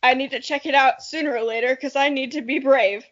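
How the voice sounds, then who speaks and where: A woman's voice: sits high, steady tone, brisk, highs cut off. A young adult woman co-hosting a podcast, playful and joking as she reads a childhood story aloud and banters casually. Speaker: podcast co-host.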